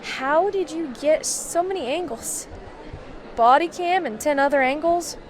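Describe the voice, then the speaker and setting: A woman playing a businesslike, curious intelligence handler in an amateur audio drama, measured and conversational. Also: high voice, expressive. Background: faint crowd chatter.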